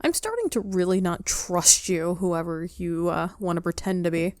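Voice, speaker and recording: A woman's voice: on the low side, lively intonation, normal speed, a little mellow, dry recording. A woman giving casual commentary as she plays a game, reacting aloud and talking back. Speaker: game player.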